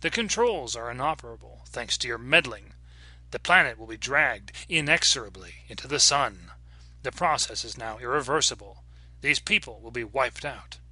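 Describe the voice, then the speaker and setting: Time traveler for sci-fi audiobook, male reader: earnest, frantic, emphatic, formal, very sharp tone. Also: mid-range voice, lively intonation, normal speed, husky, full character voice. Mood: frantic, grave. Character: frantic, principled time-travelling man pleading a doomed planet's case. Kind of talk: dramatic audiobook character reading.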